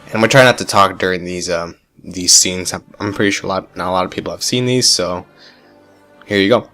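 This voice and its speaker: A man's voice: deep voice, a little fast, bright, forceful tone, faint music behind. A man with a stuffy, congested voice, rambling enthusiastically through casual gameplay commentary with many fillers and restarts. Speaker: gaming YouTuber.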